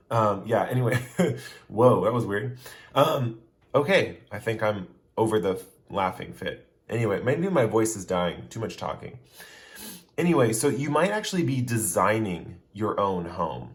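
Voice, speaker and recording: Low-voiced, chatty male tarot reader. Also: expressive, measured pace. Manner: chatty, speaks straight to the viewer; loose and rambling, restating points. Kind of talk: casual pick-a-card tarot reading.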